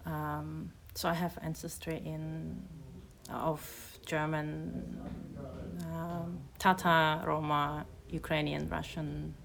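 A woman poet and translator from Kazakhstan, speaking in a measured, hesitant way as she talks and reads. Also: sits low, flat delivery, creaky, a bit bright. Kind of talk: casual conversation and poetry reading.

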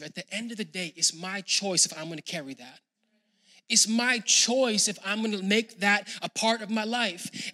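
A man preaching a sermon as a pastor, talking casually to people at home and rising to loud, emphatic passages. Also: sits high.

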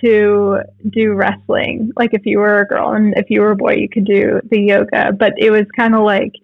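A woman's voice: mid-range voice, level tone, normal speed, gravelly, no room sound, phone-quality sound. A woman in her late twenties with a North American accent, chatting informally in an interview and telling stories in a loose, conversational way. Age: late 20s. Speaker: podcast guest, jiu jitsu writer.

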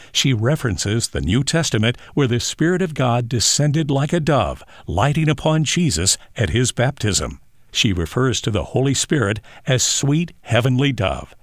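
A man's voice: mid-range voice, no room sound, clean studio sound. A man reading a scripted biographical narration as a narrator.

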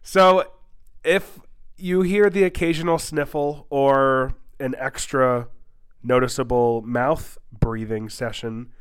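A man's voice: mid-range voice, steady tone, slow pace, smooth. A young man from the United States hosting a casual podcast, stuffy-nosed from a cold and rambling through restarts. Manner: rambling, restarts sentences often. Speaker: podcast host.

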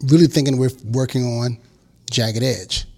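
A man with a gravelly, creaky voice in his forties, talking casually in an interview. He tells a long story and acts out what people said to him. His pitch sits in the middle for a man. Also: unhurried. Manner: animated storyteller acting out quotes. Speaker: guest record producer.

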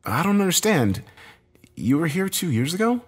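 A distraught husband and father in an audio thriller, voiced by a male narrator. He is confrontational and insistent, always questioning, in a raised, husky voice with big swings in pitch. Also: sing-song, moderate pace. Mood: bewildered, questioning.

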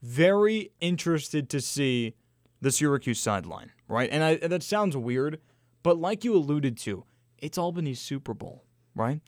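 Energetic higher-voiced male sports radio host. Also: a little slow, dry recording, studio quality. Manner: loud, joking, energetic. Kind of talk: casual sports talk radio.

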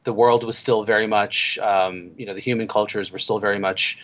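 A man's voice: fairly low pitch, steady tone, brisk, a bit bright. A man hosting a casual fan podcast, chatty and thinking aloud as he talks. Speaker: podcast host. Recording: slightly dull lo-fi audio.

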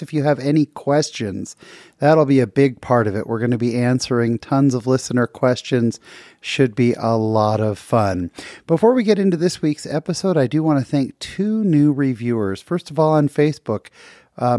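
A man's voice: mid-range voice, mellow, no echo, studio quality. A man hosting a podcast, introducing the episode with enthusiastic, effusive praise and then interviewing a guest. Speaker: podcast host.

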